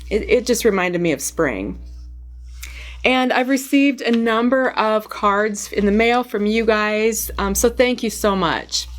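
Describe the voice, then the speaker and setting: A woman with a cold, her voice hoarse, chatting casually to camera about knitting and yarn, with frequent ums. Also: a little high, unhurried. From United States. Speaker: knitting vlogger.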